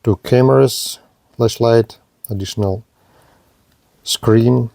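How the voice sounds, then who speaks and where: A man narrating a product review and unboxing in short, halting, plain phrases. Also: mid-range voice, steady tone, vocal fry, warm tone, dry recording. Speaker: gadget reviewer.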